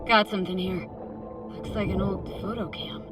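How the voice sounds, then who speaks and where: A woman plays a laid-back nomad companion from a video game, curious and casually teasing. Her delivery is dry, and loud music and underwater sounds run behind her. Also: medium pitch, fairly even intonation, moderate pace, lightly acted. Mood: easygoing. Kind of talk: naturalistic video game acting.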